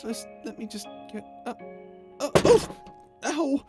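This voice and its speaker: A woman plays a gentle, childlike creature in a space audio drama. The voice sits fairly high and is timid and earnest, often stammering and hesitating. Clear music runs underneath. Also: expressive, slow. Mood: wistful, unsure. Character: timid, childlike, gentle non-human crew member. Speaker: voice actor in audio roleplay. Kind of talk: ASMR audio drama roleplay.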